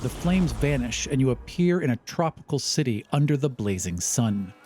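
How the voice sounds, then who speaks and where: A man's voice: medium pitch, sing-song, normal speed, a little mellow, slightly rough. A man working as game master, narrating a fantasy tabletop adventure in a descriptive, scripted style.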